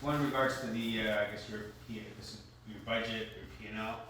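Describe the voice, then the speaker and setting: A man's voice: on the low side, fairly even intonation, a little fast, fairly bright tone, a little hiss or hum. A man, a club member, asking a hesitant question from the floor of the meeting. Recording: very echoey hall, from far off.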